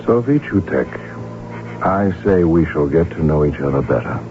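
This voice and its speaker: Deep-voiced courtly prince, old radio. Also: male voice, animated, normal speed, noisy background. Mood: ardent. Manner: courtly, gently teasing. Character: courteous, smitten male royal heir, self-deprecating. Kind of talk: radio drama acting. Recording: muffled old radio broadcast, music behind. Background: music.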